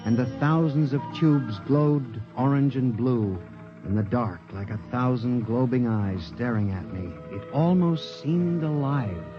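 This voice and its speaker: A man's voice: mid-range voice, natural voice. An American actor playing an anxious, earnest statistician who narrates his own story in a 1950s radio drama, with intense, dramatic delivery. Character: anxious, earnest statistician clerk, unhappy and haunted. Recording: dull 1950s radio recording, music behind.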